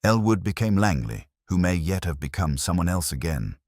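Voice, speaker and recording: A man's voice: deep voice, sing-song, moderate pace, a little mellow, dry recording, clean studio sound. A man voicing a shrewd, grave Victorian detective in an audiobook, speaking with precise, formal deduction. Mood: intent, analytical. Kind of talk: audiobook character dialogue.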